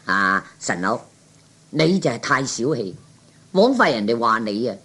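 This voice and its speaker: A man's voice: medium pitch, sing-song, a little slow, pushed and bright, breathy edge, exaggerated voice. A man voicing a sly, proud but broke gambler with a Cantonese accent in a 1960s comic radio play, drawling and dismissive. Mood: scheming, smug. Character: sly, proud, broke male gambler playing big shot.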